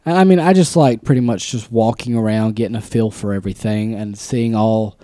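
A man in his twenties chatting on a podcast, his voice mellow and warm. He laughs often and slips into little acted quotes. The sound is dry and close. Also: lowish voice, brisk, dry recording. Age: 20s. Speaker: podcast co-host. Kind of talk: casual chat.

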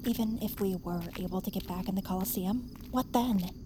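A woman's voice: medium pitch, a little fast, noisy background, close to an everyday voice. A woman playing a sarcastic, bossy young woman on the run in a naturalistic audio drama. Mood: dry, irritated. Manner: snippy, exasperated.

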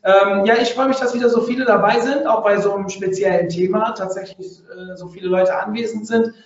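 A man hosting a live webinar who has a cold and coughs now and then, talking chatty and halting with many fillers. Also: high-pitched, steady tone, very echoey, distant mic, dull audio. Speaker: podcast and webinar host.

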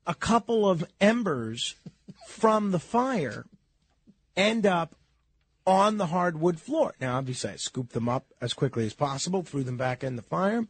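Creaky, husky, animated male voice. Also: on the high side, no room sound. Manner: hesitant, halting, earnest. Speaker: radio host. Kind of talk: conversational radio talk.

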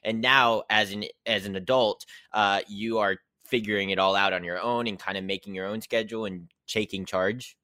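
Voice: low voice, steady tone, normal speed, few pauses, pushed and bright, dry recording. An interview host who asks long, rambling questions in a chatty way. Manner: chatty and rambling, with many fillers. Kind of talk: casual interview chat.

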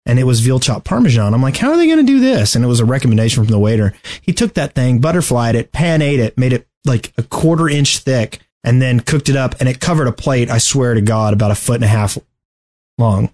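A man's voice: medium pitch, animated, fairly quick, darkish tone. A man in his forties hosting a podcast in casual chat, joking and rambling through his stories. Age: 40s. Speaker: podcast host.

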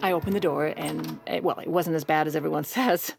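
An actress playing a protective, evasive First Lady, speaking in a halting, confessional way in an audio drama. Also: low voice, fairly even intonation, gravelly, soft background music. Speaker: actor and narrator in an audio drama. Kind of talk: dramatic first-person narration and dialogue.

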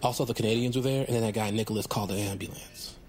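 A man's voice: lowish voice, steady tone, very fast, a little mellow, a little hiss or hum, natural voice. Man playing a rambling, defensive goofball buddy. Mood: boastful, mock modest. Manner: rambling, casual slangy excuses. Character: boastful, defensive goofball male bounty hunter and buddy. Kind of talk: naturalistic comedic audio drama.

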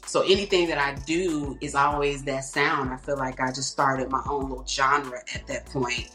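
A woman with a low alto voice, telling stories in a reflective way and peppering her talk with "you know". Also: fairly even intonation, normal speed, roomy sound, faint music behind. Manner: warm, reflective storytelling with many "you know"s. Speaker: guest singer. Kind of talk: casual interview talk.

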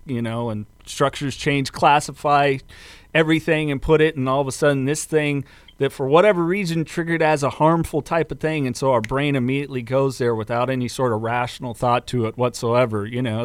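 A man with an American accent hosts a relaxed podcast conversation. His voice is clear and smooth at an average pitch, circling through his points in long rambling sentences, recorded close and dry. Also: no room sound. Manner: rambling, roundabout phrasing. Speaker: podcast host. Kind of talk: casual conversational interview.

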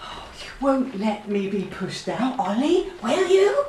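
A woman's voice: on the high side, very animated, measured pace. Woman acting an anxious seventy-year-old, roomy distant sound. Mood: anxious, pleading. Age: 70s. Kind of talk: audio play acting. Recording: roomy, distant, faint background noise.

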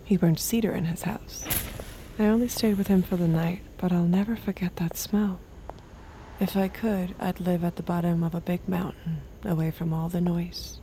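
Actress as detached young woman, low mellow voice, soft, measured, quietly naive and dangerous, audio drama. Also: steady tone, a little hiss or hum, lightly acted, rough recording. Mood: pensive, intimate. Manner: soft, measured, sparse short replies. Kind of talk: first-person audio drama narration and dialogue.

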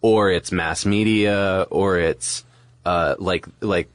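A man's voice: deep voice, fairly even intonation, slow, no echo. A man co-hosting a podcast, explaining and commenting in casual conversation. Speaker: podcast co-host.

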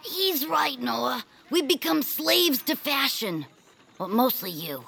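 A dramatic cartoon townswoman for an audio drama. The voice is high, bright and very creaky, with a raspy edge. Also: very animated, slow, exaggerated voice. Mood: rueful. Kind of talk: family audio drama acting.

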